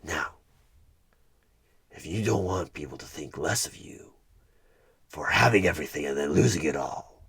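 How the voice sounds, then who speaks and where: A man playing a sinister, smug devil of a recruiter in a horror ASMR roleplay, coaxing and condescending, with a gravelly voice that often slips toward a whisper. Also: a bass voice, lively intonation, fairly bright tone, full character voice. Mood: coaxing, menacing. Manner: slow, deliberate, coaxing, condescending. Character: sinister devilish male recruiter, smug soul-buying tempter. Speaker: roleplay performer playing a job interviewer. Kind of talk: one-sided horror ASMR audio roleplay.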